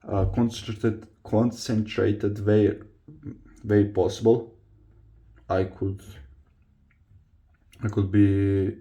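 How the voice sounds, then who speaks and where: A man's voice: on the low side, dark tone. A young man from Croatia with a Croatian accent, chatting casually to camera in non-native English, hesitant as he searches for words. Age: young adult. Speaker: vlogger, football player. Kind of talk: casual confessional chat to camera.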